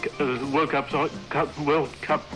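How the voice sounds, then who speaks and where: Slightly high-voiced man tangled in a radio read. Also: fairly even intonation, unhurried, poor audio. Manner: stumbles repeatedly over a phrase. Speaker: sports newsreader. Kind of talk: radio sports results. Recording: archive radio broadcast with music.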